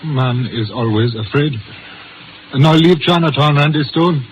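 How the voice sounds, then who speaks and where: An actor in a 1950s radio drama playing a guarded, philosophical older Chinese father who speaks formally and in measured aphorisms. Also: on the high side, lightly acted. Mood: grave warning. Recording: noisy muffled old radio recording.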